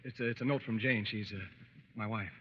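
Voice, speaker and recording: A man's voice: on the low side, fairly even intonation, a little fast, close to an everyday voice. An actor playing a nervous, sheepish young newlywed man in old-time radio style, hesitant and stammering. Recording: muffled, faintly noisy vintage radio recording.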